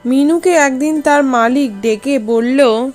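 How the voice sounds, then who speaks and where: High-voiced woman narrating a fairy tale. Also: takes it slowly, darkish tone, faint music behind.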